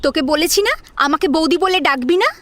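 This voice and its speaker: A woman's voice: extremely high pitch, quick pace, a bit bright, no echo. A woman playing a bullying, short-tempered young sister-in-law in an audio drama, scolding and haughty as she gives orders. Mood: haughty, bossy. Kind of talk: Bengali audio drama acting. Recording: music under the voice.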